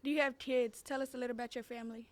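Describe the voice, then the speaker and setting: A woman's voice: on the high side, steady tone, rapid-fire. A young woman, a student host, asking brief, polite interview questions from away from the microphone. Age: teens.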